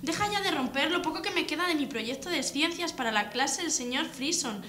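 A high-voiced, dramatic schoolgirl played by a woman with an accent from Spain. She is indignant, in radio-serial style. The sound is echoey, with clear background noise. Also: animated, fairly quick, bright, forceful tone. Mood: annoyed. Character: indignant, melodramatic teenage schoolgirl. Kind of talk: Spanish radio serial acting.

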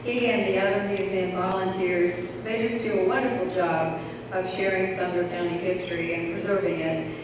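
A woman's voice: on the low side, fairly even intonation, moderate pace. An older woman, vice president of a local society, reading an earnest introduction from her notes. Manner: reads from notes, plain and earnest. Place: large school auditorium. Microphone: handheld mic, recorded from a far camera. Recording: echoey hall from far off, audible noise.

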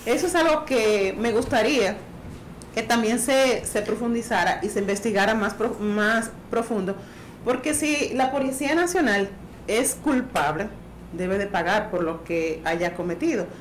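Woman around 30s on a studio panel, a bit high voice, stumbles and repeats herself, roomy echo. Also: lively intonation, normal speed. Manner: hesitant, repeats words and restarts. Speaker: panel commentator. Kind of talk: talk show commentary. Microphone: boom studio mic. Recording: roomy studio, faint noise.

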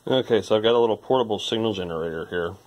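Low-voiced man musing casually. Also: very fast, dull audio. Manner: informal, musing aloud. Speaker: hobbyist electronics repairer. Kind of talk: casual hands-on repair commentary. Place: indoor workbench.

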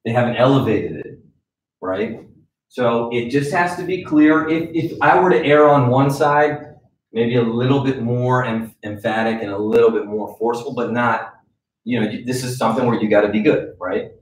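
A man in his forties leading a live sales training in a conference room, with direct, animated coaching and frequent check-ins. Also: medium pitch, normal speed, slight background noise. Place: conference room with a wall screen. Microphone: far camera. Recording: echoey room from far off.

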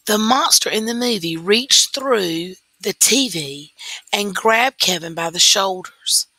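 A woman teacher reading a story aloud slowly and deliberately into a computer microphone. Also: medium pitch, lively intonation, pushed and bright, slightly rough, no room sound, low-quality recording. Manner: slow, deliberate read-aloud.

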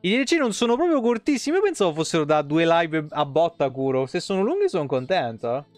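A man's voice: on the high side, sing-song, fast, dry recording. A man, live streaming casually in slangy, foul-mouthed talk and reading game lore aloud. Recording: music playing behind the voice. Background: soft tavern guitar music.

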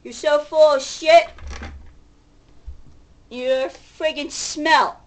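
High-pitched agitated middle-aged woman. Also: fairly even intonation, drawn-out words. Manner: agitated, combative, sarcastic, profane. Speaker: vlogger. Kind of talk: confrontational ranting to camera, casual. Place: home kitchen, indoors. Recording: noisy home webcam recording.

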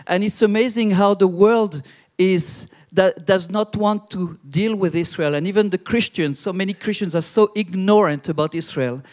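Older man with a high, emphatic voice. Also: darkish tone. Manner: emphatic, repeats key words. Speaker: conference speaker. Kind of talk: closing remarks of a talk. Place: large theater stage. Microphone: handheld mic.

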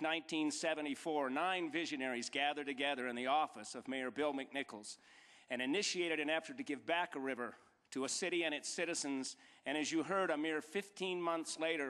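A man's voice: on the high side, a bit bright. A middle-aged man giving a formal acceptance speech from a podium, building emphatic rhetorical lists and repeated dates. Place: large banquet hall stage. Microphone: podium microphone. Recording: long reverb of a large hall.

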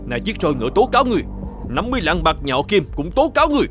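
Stern, commanding cartoon governor. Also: male voice, high-pitched, very animated, racing, over music. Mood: stern, accusing. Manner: commanding, accusatory, formal. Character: stern, shrewd male governor judging a murder case. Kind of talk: cartoon folk-tale dubbing.

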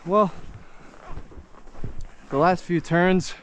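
A young man talking to himself and his camera while skiing the backcountry, casual and relaxed, recorded outdoors on an action camera. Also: sits high, extremely slow, a little hiss or hum. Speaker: ski vlogger. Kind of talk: casual vlog narration. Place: outdoors on snowy mountain slopes. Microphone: action camera on body or car. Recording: music playing under the voice.

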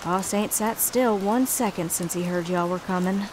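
A woman's voice: lowish voice, normal speed, background noise, natural voice. Woman as a folksy Southern country gal. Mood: friendly. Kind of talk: audio drama acting.

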